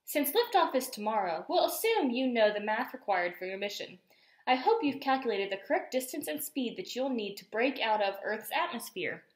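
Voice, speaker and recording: A woman's voice: fairly high pitch, big pitch swings, normal speed, reverberant. A woman voicing an earnest, polite, bookish young girl who explains things matter-of-factly in a storybook. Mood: earnest, helpful.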